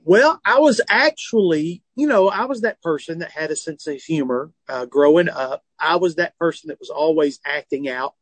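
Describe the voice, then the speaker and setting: Southern comedian in his forties, lightly high voice, dry close sound, animated and earnest storytelling. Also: normal speed, few pauses, breathy edge, no echo. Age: 40s. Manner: animated, earnest, self-deprecating humor. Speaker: comedian and author, guest. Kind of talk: phone or remote interview, candid storytelling. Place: tour bus.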